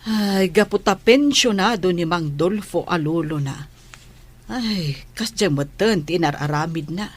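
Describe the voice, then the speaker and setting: A woman playing a gentle, curious, proud mother with a husky voice and Ilocano accent in a radio drama. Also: lowish voice, a little slow. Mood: curious, musing.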